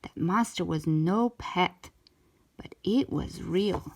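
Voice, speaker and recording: A woman's voice: lowish voice, takes it slowly, gravelly. A woman narrating a children's book aloud as a storytime reader.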